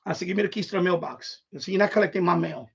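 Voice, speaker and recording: A man with a husky voice, telling stories in a blunt, repetitive, sweary way on a casual live stream. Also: high-pitched, rapid-fire. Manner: blunt, profane, repeats himself, animated. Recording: dull, lo-fi livestream audio.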